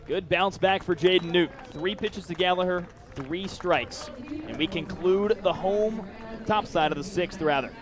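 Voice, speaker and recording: Higher-pitched man calling baseball play-by-play. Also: a little slow, a crowd in the background. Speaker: play-by-play announcer. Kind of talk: live baseball play-by-play commentary.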